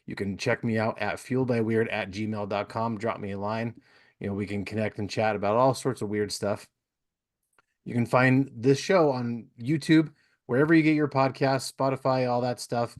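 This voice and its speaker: A man's voice: medium pitch, fairly even intonation, normal speed. A man of about forty hosting a podcast, chatting in an informal, chummy way. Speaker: podcast host. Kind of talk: casual interview chat.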